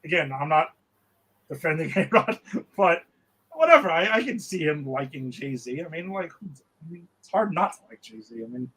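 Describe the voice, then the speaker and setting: A man's voice: a little high, lively intonation, moderate pace, slightly rough, rough recording. A man hosting a casual sports podcast, talking in a loose, rambling way with fillers. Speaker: podcast host. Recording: music playing loudly behind.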